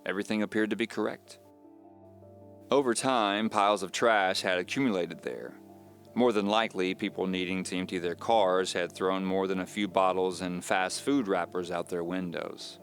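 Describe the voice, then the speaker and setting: A man playing an uneasy man recounting his own mystery in measured, confiding, scripted audio drama narration. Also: deep voice, steady tone, soft background music. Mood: puzzled, dejected.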